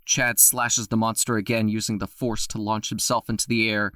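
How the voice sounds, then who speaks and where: A man narrating an audio drama with expressive storytelling. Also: on the low side, normal speed, no room sound, clean studio sound.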